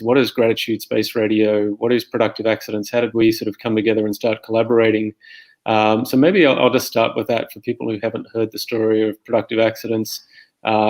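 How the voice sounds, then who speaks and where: A middle-aged Australian man with a low, gravelly voice hosts an informal conversation. His intonation stays very flat and he rambles along in an easy, unscripted way, as a podcast host introducing things. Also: low-quality recording. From Armidale, New South Wales, Australia.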